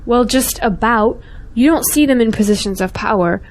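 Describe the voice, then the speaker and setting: A woman's voice: fairly high pitch, normal speed, slight background noise, lightly acted. A young woman playing a principled, outspoken schoolteacher in an audio drama, earnest and pointed. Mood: sarcastic, pointed. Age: young adult.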